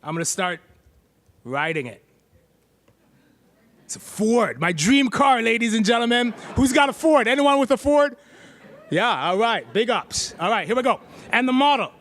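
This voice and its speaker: High-voiced playful man demoing on stage. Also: lively intonation, moderate pace, pauses often, a bit bright. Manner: playful, chatty, jokes with the crowd. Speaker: presenter giving a tech demo. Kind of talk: live stage demo to an audience. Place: stage at a tech meetup. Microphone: handheld mic.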